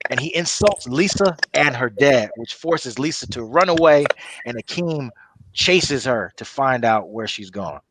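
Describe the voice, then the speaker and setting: A man's voice: mid-range voice, fairly bright tone, slight background noise, poor audio. A man narrating a comedy table read, reading stage directions with loose improvised asides.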